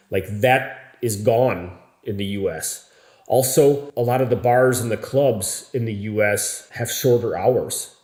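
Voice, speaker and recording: An American man talking to camera in a casual vlog, speaking slowly and clearly. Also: on the low side, darkish tone. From United States. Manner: slow, deliberate, clearly spoken. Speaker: vlogger. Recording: echoey room.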